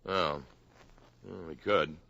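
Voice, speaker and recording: Bright, gravelly, breezy boyfriend. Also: male voice, sits low, takes it slowly, no room sound, dull audio, heavily acted. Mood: cheerful. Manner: casual, breezy. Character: breezy, affectionate boyfriend, casual and cheerful. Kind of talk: 1950s radio drama acting. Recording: old radio recording with faint noise.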